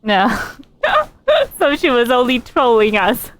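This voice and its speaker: Slow-talking, giggly woman game streamer. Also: on the high side, lively intonation, a little hiss or hum. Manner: giggly, amused, halting. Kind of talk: casual live playthrough commentary, reading dialogue choices aloud.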